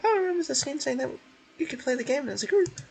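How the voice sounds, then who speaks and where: A man voicing a small, excitable fairy companion from a video game, chirpy and speaking in the third person. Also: very high-pitched, fast talker, gravelly, voice pushed up, exaggerated voice.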